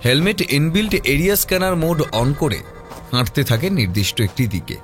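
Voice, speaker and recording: A man narrating a scripted horror mystery audio story in a dramatic, measured manner. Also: fairly high pitch, lively intonation, over music.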